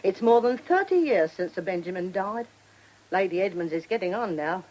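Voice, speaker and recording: An actress playing a devoted, nostalgic old theatre dresser in a radio drama, garrulous and homely, with a working-class London accent. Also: female voice, medium pitch, animated, moderate pace, a little mellow, natural voice, low-quality recording. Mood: chatty, nostalgic. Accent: working-class London English. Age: older.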